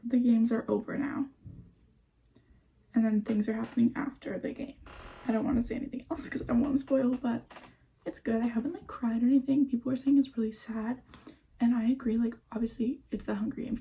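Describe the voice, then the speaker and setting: A woman's voice: on the high side, fairly even intonation, brisk, vocal fry, warm tone, slight background noise, low-quality recording. A young woman chatting casually at home in a book vlog, full of "like" and "um" fillers. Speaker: book vlogger. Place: at home in front of a bookshelf.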